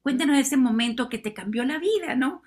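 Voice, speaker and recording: A woman's voice: high-pitched, expressive, a little fast, darkish tone. A woman hosting a podcast who introduces her guest with enthusiasm and asks open questions. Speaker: podcast host, interviewer. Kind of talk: podcast interview.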